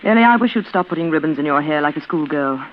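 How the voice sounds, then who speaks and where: An actress playing a stern, impatient middle-aged sister in a 1940s radio suspense drama, curt and scolding. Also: female voice, sits low, lively intonation, fast talker. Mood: irritated. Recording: dull, noisy vintage radio recording.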